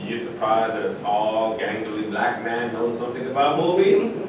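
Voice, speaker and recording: A man as a lower-voiced gangly sceptic. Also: moderate pace, lightly acted. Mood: challenging. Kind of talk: staged play reading. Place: large indoor room at a live staged reading, distant mic. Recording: very echoey, noisy room from far off.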